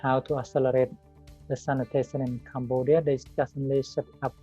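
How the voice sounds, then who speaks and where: Halting man, flat and dark. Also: medium pitch, breathy edge, muffled recording, soft background music. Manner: halting, many fillers. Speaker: program manager. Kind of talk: recorded video statement.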